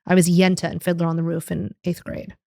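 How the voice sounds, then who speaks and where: A young woman with a New York accent and a naturally low voice, chatting candidly and self-deprecatingly as a podcast guest. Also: monotone, fast, vocal fry, a little mellow, no echo, studio quality. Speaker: stand-up comedian guest. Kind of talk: casual podcast chat.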